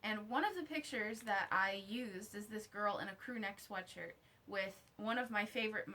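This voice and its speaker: A woman vlogger talking casually to camera in an unboxing style, slangy and informal, often thinking out loud to herself. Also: medium pitch, moderate pace, a little hiss or hum. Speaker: vlogger reviewing her own merch.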